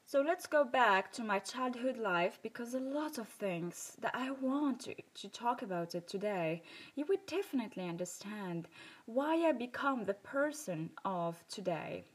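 A woman's voice: fairly high pitch, lively intonation, a little slow, husky. A woman with a Moroccan accent hosting a self-improvement podcast, telling her personal story in an earnest, emphatic way.

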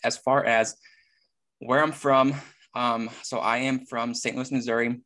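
A young man from Iceland, a fitness coach speaking as a podcast guest, who talks in a relaxed, hesitant way full of fillers. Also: mid-range voice, a little slow. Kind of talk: casual interview chat.

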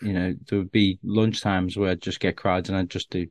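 Self-deprecating man with a softened Scouse accent. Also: deep voice, flat delivery, a little fast. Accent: Liverpool (Scouse), softened. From Liverpool, England. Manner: self-deprecating, rambling with many restarts. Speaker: stand-up comedian guest. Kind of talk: casual interview chat.

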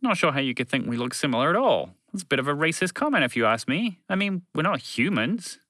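A condescending alien creature from a storybook, voiced by a man. It argues every point in a bright, raised voice and takes offence easily, with pitch that jumps around in lively swings. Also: fast talker, no room sound, studio quality. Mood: offended. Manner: condescending, argumentative, casual phrasing.

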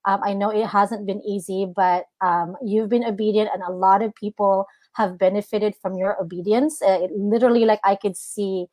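A woman's voice: medium pitch, fairly even intonation, normal speed, few pauses, darkish tone, no echo. A woman, sharing an earnest personal testimony as a guest minister, full of "like" and "you know". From Philippines.

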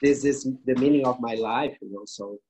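A man's voice: medium pitch, a little mellow, slight background noise. Earnest man with Brazilian accent. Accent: Brazilian Portuguese. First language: Portuguese. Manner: earnest, halting English with fillers. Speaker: guest. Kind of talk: interview answers.

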